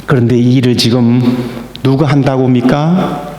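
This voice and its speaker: A man preaching a sermon as pastor, slow and emphatic, with stressed words that rise. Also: medium pitch, steady tone, darkish tone. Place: church sanctuary. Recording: echoey church hall.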